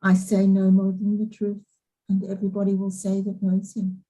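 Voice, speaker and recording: An actress plays a proud housekeeper in a period drama. Her medium-pitched voice is dark and husky. She speaks earnestly and deferentially, devoted to the house she serves. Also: completely flat delivery, normal speed, lightly acted, low-quality recording. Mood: fond pride. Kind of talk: staged reading of period drama.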